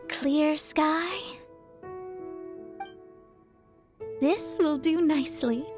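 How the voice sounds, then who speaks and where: Haughty young astrologer girl, high pitched, measured and precise, anime game w/ music under. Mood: confident, businesslike. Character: proud young female astrologer, confident and self-important. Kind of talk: anime video game voice acting.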